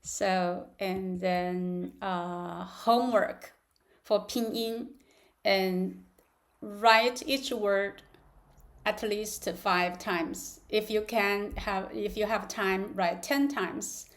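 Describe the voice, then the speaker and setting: Lady teacher on a video call, super slow, lots of uh and um, drills sounds one by one. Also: mid-range voice, fairly even intonation. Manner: halting, many fillers, laughs often; drills syllables aloud. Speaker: language teacher. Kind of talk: live online class.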